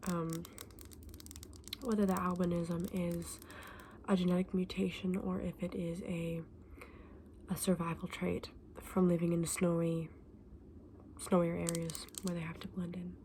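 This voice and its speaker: A soft, breathy woman acting as a female shopkeeper of magical creature eggs in an ASMR roleplay. Her voice sits a little low and often slips into a whisper. She explains her wares gently and knowledgeably, over clear background noise. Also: little pitch movement, natural voice. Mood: informative, gentle. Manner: gentle, unhurried, explanatory, addressing a customer. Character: friendly, knowledgeable female dragon egg saleswoman.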